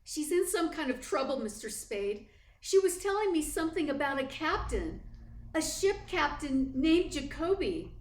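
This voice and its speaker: Actress as worried devoted secretary, radio play, swooping high voice, alarmed questioning, roomy sound. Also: female voice, very animated, moderate pace. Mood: anxious. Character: anxious, devoted young female secretary. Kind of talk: 1940s-style radio play acting. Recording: echoey room.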